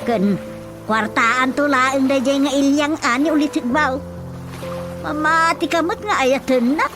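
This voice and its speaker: A woman's voice: sits high, unhurried, music in the background. A woman with an Ilocano accent playing a fussy, practical, scolding mother in a radio drama comedy, grumbling and emphatic.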